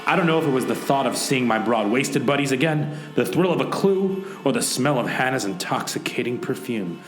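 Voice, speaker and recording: An actor playing a brooding, wounded private eye in a hardboiled radio play, with melodramatic delivery and old-time detective slang. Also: male voice, medium pitch, lively intonation, brisk, music in the background, lightly acted. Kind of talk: hardboiled noir radio play narration and dialogue. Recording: echoey room with loud background noise.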